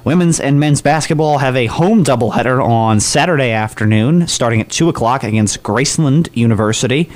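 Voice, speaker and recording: Male college radio host, medium pitch, upbeat and chatty announcer delivery with punched openings. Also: fairly quick, few pauses, a little mellow.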